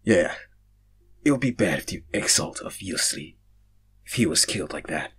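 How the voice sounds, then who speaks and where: An easygoing, joking young lord of a fantasy tale, read by a man, with a lowish, husky voice. He sounds casual and lightly amused. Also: expressive, normal speed, a bit bright, exaggerated voice. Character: friendly, easygoing, joking male fantasy royal.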